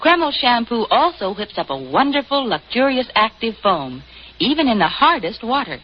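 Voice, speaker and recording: A young woman gives a scripted product endorsement on old radio. Her intonation is very lively. The recording sounds dull and dated. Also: a little high, normal speed. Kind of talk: scripted 1940s radio advertising.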